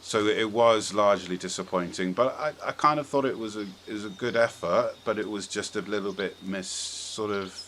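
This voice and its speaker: Male podcast host, very deep voice, conversational and tentative, some background noise. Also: moderate pace, hardly any pauses, fairly bright tone. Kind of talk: casual podcast discussion.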